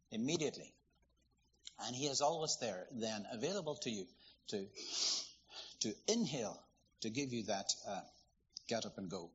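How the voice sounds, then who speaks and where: A man from Ireland, preaching a sermon in a lively, conversational, storytelling way. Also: medium pitch, lo-fi sound, a little hiss or hum. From Northern Ireland.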